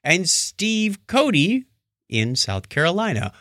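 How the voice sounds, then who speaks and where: A man's voice: sits high, wildly swooping pitch, takes it slowly, pushed and bright, dry recording, clean studio sound. A man hosting a podcast with playful, theatrical announcing and casual comic banter. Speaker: podcast host.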